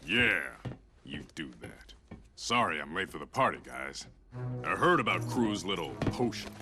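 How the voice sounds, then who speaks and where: Confident loyal tough guy, fairly low pitch, lively intonation, laid-back game character. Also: measured pace, exaggerated voice. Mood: cool, reassuring. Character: confident, loyal, tough male veteran fighter, cool-headed. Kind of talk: video game cutscene acting. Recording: music under the voice. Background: music.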